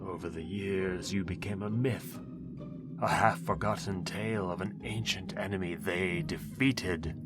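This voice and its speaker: A man's voice: deep voice, measured pace. A man voicing an ancient, pompous necromancer in an audio roleplay, speaking in a theatrical, wheedling way. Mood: scheming, persuasive. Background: music.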